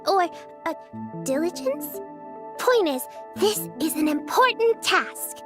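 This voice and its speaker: A woman's voice: very high voice, sing-song, slow, music in the background, full character voice. A woman playing a bossy, self-important young girl in a video game, skeptical and trying to sound formal. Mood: earnest, self-important. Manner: pretend-formal, stumbles over big words. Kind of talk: animated game dialogue.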